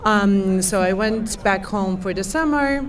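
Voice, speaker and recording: A woman panelist telling her personal story, pausing often with fillers. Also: medium pitch. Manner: hesitant, with many fillers. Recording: far from the mic.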